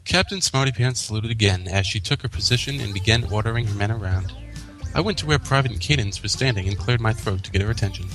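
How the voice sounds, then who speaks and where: A man's voice: on the low side, fast, poor audio. A man co-hosting a fan fiction reading, narrating the story aloud. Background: faint music.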